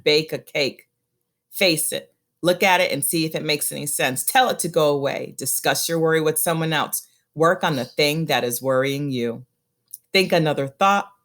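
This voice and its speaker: Female storyteller, lower register, for a picture book read-aloud; expressive phrasing, key words stretched out.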